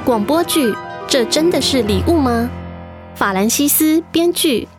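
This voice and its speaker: A woman's voice: sits high, expressive, a little slow, over loud music. A woman announcer reading a scripted introduction for a radio drama in a formal credits style.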